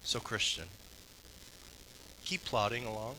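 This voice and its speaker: A man pastor giving an earnest sermon that ends in prayer, swinging between strong, raised passages and low, soft ones. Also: slow pace, a little hiss or hum, rough recording.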